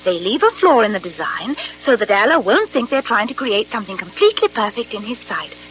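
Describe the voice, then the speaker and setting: An actress playing an eager, chatty British secretary in an old-fashioned radio drama, breezy and talkative. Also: female voice, medium pitch, very animated, a little fast, fairly bright tone, slight background noise, low-quality recording. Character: eager, chatty, helpful young female secretary to a doctor.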